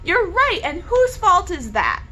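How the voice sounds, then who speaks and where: A woman's voice: very high-pitched, very animated, a little slow, pushed and bright, pitched above the performer's own voice, full character voice. A woman voicing an exasperated, pleading father in a read-aloud novel. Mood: angry. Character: exasperated, pleading dad, adult man.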